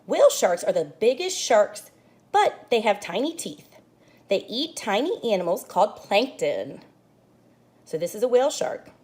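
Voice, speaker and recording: A woman's voice: mid-range voice, normal speed. A woman teacher reading a children's book aloud, explaining pages to young students as she goes. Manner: expressive storybook reading with asides to kids. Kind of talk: children's read-aloud lesson.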